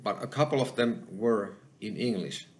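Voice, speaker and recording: A man with a Finnish accent, hosting his own channel and talking alone to the camera, slow and halting, with many pauses. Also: fairly low pitch, lively intonation, darkish tone. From Finland. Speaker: channel host. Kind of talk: solo spoken monologue.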